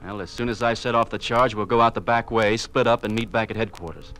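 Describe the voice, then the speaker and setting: A man's voice: fairly low pitch, fairly even intonation, fast, a bit bright. An actor with an American accent playing a cold, resolute male ringleader of bombers in an old-time radio drama. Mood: businesslike, tense. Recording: vintage radio recording.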